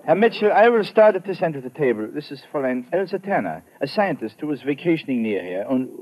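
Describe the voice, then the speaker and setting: An actor playing an anxious, formal, portly German small-town mayor in an old radio drama. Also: male voice, a little high, big pitch swings, fast talker, a crowd in the background. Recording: noisy vintage radio recording.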